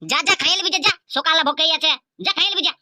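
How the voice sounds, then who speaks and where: Woman playing a bossy big bro from a village, purulia accent, super bright, bone-dry sound. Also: very high voice, no room sound, full character voice. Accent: Purulia Bengali. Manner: bossy, scolding, quick. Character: bossy, hot-tempered village elder brother.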